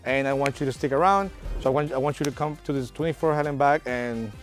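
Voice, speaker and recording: A man's voice: mid-range voice, fast, soft background music. A man in his thirties from Colombia who owns an off-road shop, speaking in a friendly, chatty casual interview. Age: 30s. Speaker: off-road shop owner and competitor.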